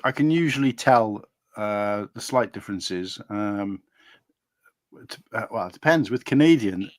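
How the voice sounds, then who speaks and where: Welsh man, low voice, dry close sound, hesitant casual chat. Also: expressive, unhurried, dry recording. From Wales. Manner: rambling, hesitant with fillers and restarts.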